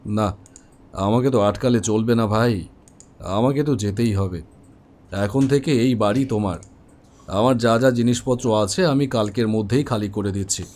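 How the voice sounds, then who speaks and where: A man plays an elderly homeowner from a horror cartoon, with a soft, dark-toned voice. He speaks in a reflective, gentle way, wistful and resigned. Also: on the low side, moderate pace, long pauses, natural voice. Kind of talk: Bengali horror cartoon dialogue.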